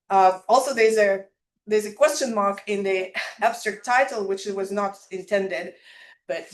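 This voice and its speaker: A woman's voice: mid-range voice, echoey room. A woman researcher giving an academic conference presentation, hesitant and full of "uh" fillers.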